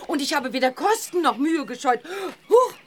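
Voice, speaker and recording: An actress playing a brash, nosy, effusive newspaper reporter in a children's audio drama. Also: female voice, sits high, big pitch swings, normal speed, slight background noise. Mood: gushing, eager. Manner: effusive, theatrical, chattering.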